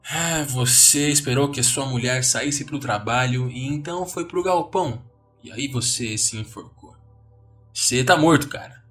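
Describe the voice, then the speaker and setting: A man's voice: medium pitch, normal speed, fairly bright tone, far from the mic, soft background music, natural voice. A man voicing a contemptuous, jaded man in his thirties who mocks newcomers with weary scorn. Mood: sneering contempt. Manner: sneering, mocking, world-weary.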